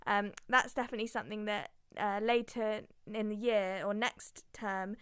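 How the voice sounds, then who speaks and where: A young woman hosting a casual student radio show, chatting loosely with plenty of fillers and a relaxed, easygoing manner. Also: medium pitch, moderate pace, smooth, dry recording, clean studio sound. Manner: chatty, filler-heavy, laughs through mishaps.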